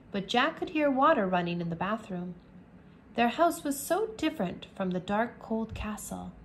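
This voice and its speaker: A woman teacher reading a children's chapter book aloud, slowly and clearly, indoors at a table. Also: medium pitch, slight background noise. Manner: slow, careful, clear reading. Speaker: English teacher.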